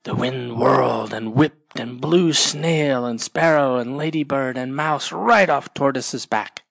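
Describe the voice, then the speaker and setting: A storyteller reading a children's picture book aloud in a measured, expressive way. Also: mid-range voice, gravelly, no room sound. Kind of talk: scripted children's storybook narration.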